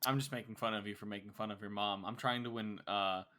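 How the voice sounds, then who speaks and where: A man's voice: lowish voice, fairly even intonation, fast, bright, forceful tone. A young man co-hosting a casual podcast, teasing his co-host and poking fun at himself in a dry way. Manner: dry, teasing, self-mocking.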